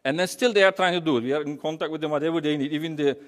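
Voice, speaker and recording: Turkish-accented man speaking in an echoey hall. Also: a little high. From Turkey. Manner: halting, rambling, repeats phrases. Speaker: humanitarian charity representative speaking. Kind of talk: informal public speech. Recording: echoey hall with long reverb.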